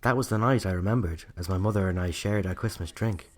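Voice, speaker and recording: A man's voice: deep voice, fast. An Irish man playing a narrator who recalls a night from his childhood, in scripted first-person storytelling. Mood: reflective.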